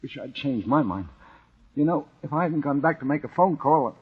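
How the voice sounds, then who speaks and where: An actor playing a young man trapped in an elevator with a broken leg, speaking in a husky, strained voice in 1940s radio acting. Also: fairly high pitch, lively intonation, normal speed, narrow, tinny audio. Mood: pained.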